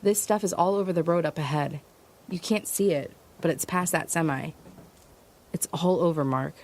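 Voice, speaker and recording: A woman's voice: on the low side, normal speed, darkish tone, a little hiss or hum, close to an everyday voice. An actress playing a resourceful, stubborn woman survivor in an audio drama, narrating and speaking in a terse, matter-of-fact way. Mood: insistent, irritated. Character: resourceful, stubborn female survivor traveling west. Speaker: lead actor and first-person narrator. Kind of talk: audio drama acting with first-person narration.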